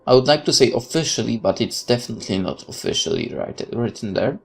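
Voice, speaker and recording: A man's voice: medium pitch, lively intonation, fast talker, gravelly, husky. A man reading a horror visual novel aloud as a let's player, with casual commentary of his own.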